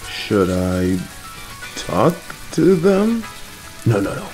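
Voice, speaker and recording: Man playing a soft-spoken worried father, low voice, slow unhurried speech, patient, gentle. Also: very animated, music in the background, exaggerated voice. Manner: gentle, patient, anxious, rambling. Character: kind, worried, clueless middle-aged father. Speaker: voice actor. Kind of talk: audio roleplay for a listener.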